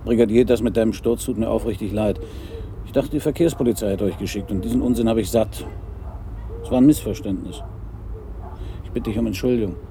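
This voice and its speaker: An actor playing a calm, stubborn, courteous eccentric in a German radio crime drama. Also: male voice, fairly low pitch, a little fast, long pauses, natural voice. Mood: apologetic.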